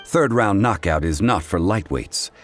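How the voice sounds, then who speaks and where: An actor playing a refined, composed gentleman scholar in a video game, speaking formally with eloquent, measured courtesy. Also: sits low, animated, faint music behind. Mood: calm, explanatory.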